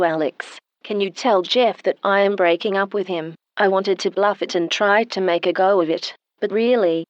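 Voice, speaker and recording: A woman's voice: medium pitch, no echo, close to an everyday voice. Woman acting a stilted, computer-like talker, dry recording. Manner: stilted, robotic, unemotional delivery. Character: woman who talks like an emotionless computer, smug, matter-of-fact. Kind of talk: comedy radio drama phone message.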